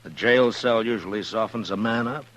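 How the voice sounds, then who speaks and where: An actor playing a steady, protective frontier marshal in a 1950s radio western, with a measured, understated delivery. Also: male voice, lowish voice, close to an everyday voice. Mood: grim, measured. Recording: noisy 1950s radio recording with hum.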